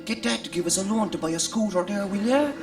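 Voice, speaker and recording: An actor playing a whiny, demanding teenage boy creature with a creaky voice in a radio comedy. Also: medium pitch, fast talker, music in the background, natural voice. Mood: wheedling. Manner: sulky, wheedling. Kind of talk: sci-fi radio comedy acting.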